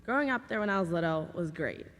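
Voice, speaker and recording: A young woman from Massachusetts, hoarse from a cold, telling her story in a chatty, giggly way. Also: lowish voice, expressive, fast, a bit bright, slight background noise. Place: large cathedral. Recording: long hall reverb with crowd.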